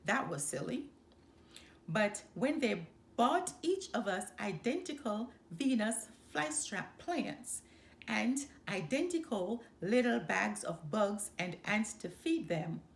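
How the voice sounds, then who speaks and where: A woman's voice: medium pitch, lively intonation, takes it slowly, gravelly. A woman voicing an opinionated seven-year-old girl in a children's storybook, matter-of-fact and judging. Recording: roomy, echoing sound.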